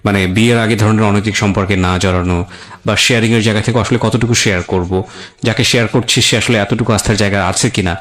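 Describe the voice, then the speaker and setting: A man's voice: lowish voice, fairly even intonation, fast talker, a bit bright. A man with a Bangladeshi accent hosting an interview, questioning and advising a guest and mixing in English words. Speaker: radio show host.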